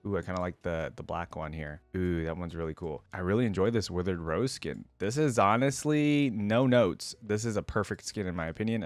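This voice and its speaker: Low-voiced man with very animated pitch. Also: no room sound. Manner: enthusiastic, informal, thinking aloud. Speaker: reaction video host. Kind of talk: casual reaction commentary.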